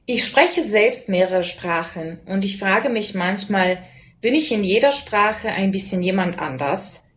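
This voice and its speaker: A young woman presents a radio programme from a prepared script. Her voice sits at a middle pitch, and she reads clearly and evenly, like a student host guiding listeners through the show. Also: animated, unhurried. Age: young adult.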